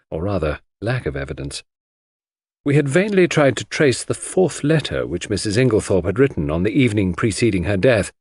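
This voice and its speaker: A man's voice: medium pitch, a little mellow, breathy edge, no room sound, clean studio sound. A man giving a dramatic scripted narration of a classic mystery audiobook.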